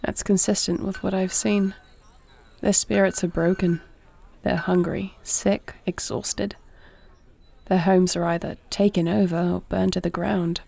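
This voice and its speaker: A woman playing a duty-bound, caring warrior princess in an audio roleplay, speaking to the listener in a formal, measured, creaky voice. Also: lowish voice, little pitch movement, a little hiss or hum. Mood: sorrowful, tender. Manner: formal, measured, soft-spoken speech.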